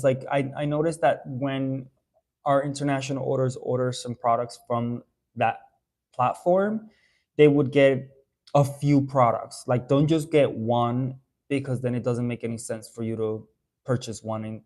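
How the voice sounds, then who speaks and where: Young adult man, mid-pitched, hosting a live stream; loose, chatty delivery with frequent fillers and restarts. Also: a little mellow. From Dominican Republic. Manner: chatty, reads out viewer comments, many fillers and restarts. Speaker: haircare brand owner answering live viewers. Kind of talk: casual live-stream chat.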